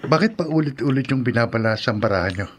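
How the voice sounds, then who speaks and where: A man's voice: medium pitch, animated, fast talker, close to an everyday voice. A man playing a doubting, uneasy husband in a radio drama, hesitant and questioning. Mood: uneasy, curious. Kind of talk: horror radio drama acting. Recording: faint background noise.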